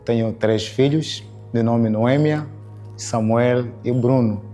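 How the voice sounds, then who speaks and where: A man in his thirties speaks with a Mozambican Portuguese accent and a mellow, warm tone. He is measured and slightly halting, with quiet music underneath. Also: mid-range voice. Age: 30s. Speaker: local church leader. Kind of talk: interview introduction.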